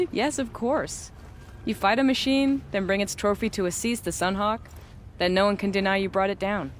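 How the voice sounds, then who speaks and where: Female actor as hard-bitten hunter, proud, wry, blunt, middle pitch, video game cutscene dialogue. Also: moderate pace, slight background noise, lightly acted. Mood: wry, matter-of-fact.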